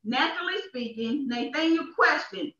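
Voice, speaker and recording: A woman preacher speaks in a high voice, giving an emphatic sermon with deliberate repetition. She is heard at a distance in a small, echoey church. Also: lively intonation, bright, forceful tone. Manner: emphatic, repeats phrases for effect. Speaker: minister. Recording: echoey, dull church livestream from off.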